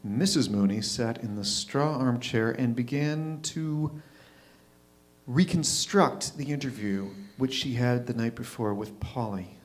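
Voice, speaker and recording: A man reading a literary short story aloud in a measured, expressive narrating style. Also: medium pitch. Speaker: reader in a readers' theatre.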